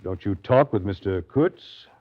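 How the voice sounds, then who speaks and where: An actor playing a weary, sardonic steamboat captain in a 1930s radio drama, with a dry, literary, brooding delivery. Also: male voice, lowish voice, lively intonation, unhurried. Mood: probing. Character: weary, sardonic male steamboat captain telling his tale. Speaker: actor, narrator. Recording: muffled, noisy 1930s radio recording.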